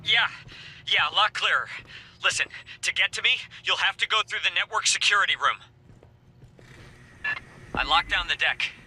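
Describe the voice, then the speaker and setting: An actor playing a jittery, talkative scientist in a video game, speaking in a nervous, hesitant, apologetic way. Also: male voice, high voice, moderate pace, pauses often, sharp tone, slightly rough, exaggerated voice, poor audio. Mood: anxious, relieved.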